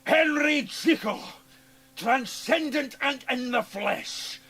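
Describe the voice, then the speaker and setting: A man playing a sinister, mocking Scottish villain in a radio drama, with a gravelly voice and theatrical, menacing delivery. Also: very high voice, slow, pushed and bright, full character voice. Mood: frenzied, triumphant. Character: sinister, mocking small man, transforming into triumphant arrogant doctor.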